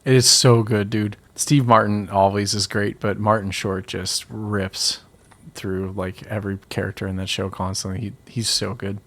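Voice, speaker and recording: A man co-hosting a podcast in casual chat, gushing and swearing casually, often trailing into a low mumble. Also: level tone, normal speed, fairly bright tone. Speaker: podcast co-host.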